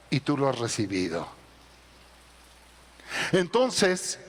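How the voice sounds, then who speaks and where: A middle-aged male pastor preaching a sermon, building to loud emphatic peaks. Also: on the high side, big-room sound. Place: church.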